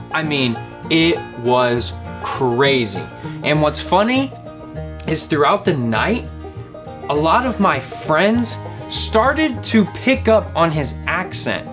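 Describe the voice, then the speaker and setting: A young man in his twenties from Louisiana with a Southern accent, teaching a playful kids' object lesson with lots of questions. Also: high voice. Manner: animated, playful, asks questions. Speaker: kids ministry teacher.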